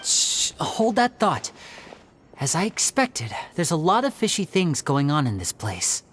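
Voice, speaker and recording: Lively, showman-like young male magician. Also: low voice, unhurried, a little hiss or hum. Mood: secretive. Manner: teasing, showman-like, explanatory. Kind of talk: anime-style video game acting.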